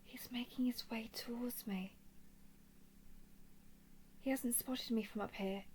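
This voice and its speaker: A woman playing a watchful, confident dragon-clan scout in a scripted roleplay audio drama, with measured, expressive delivery. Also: on the high side, a little hiss or hum. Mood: hushed, tense. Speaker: voice performer.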